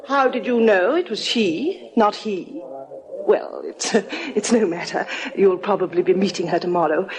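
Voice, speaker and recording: A woman's voice: mid-range voice, lively intonation, moderate pace, crowd noise, lightly acted, poor audio. An actress playing a refined, hesitant noblewoman, in 1940s radio acting. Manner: hesitant, genteel, many ums.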